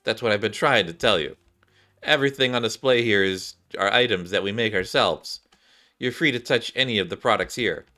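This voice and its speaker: A man's voice: lowish voice, fairly quick, sharp tone, soft background music. A man voicing a patient but exasperated shopkeeper in a game, wearily explaining. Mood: weary.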